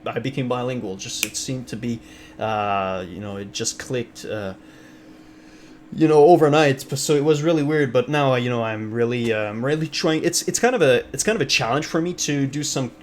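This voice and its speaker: A man's voice: medium pitch, normal speed, a little hiss or hum. French Canadian man chatting on stream. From Ontario, Canada. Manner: rambling, many fillers and restarts, reads chat aloud. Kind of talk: casual live-stream chat.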